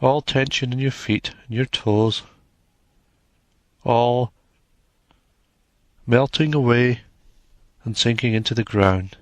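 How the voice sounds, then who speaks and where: A man narrating a guided meditation in a soothing, measured manner, leaving long pauses between short phrases. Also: medium pitch, dry recording.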